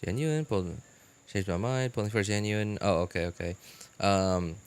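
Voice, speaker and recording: A man casually chatting as a live-stream host, answering viewers in a halting way and repeating his phrases. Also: fairly low pitch, smooth. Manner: halting, repeats phrases, animated incredulous reactions.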